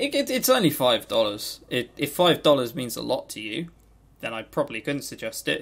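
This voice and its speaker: A man's voice: a little high, vocal fry. A man co-hosting a podcast, talking casually and informally, with opinionated but hesitant delivery. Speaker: podcast co-host. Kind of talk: casual game review chat.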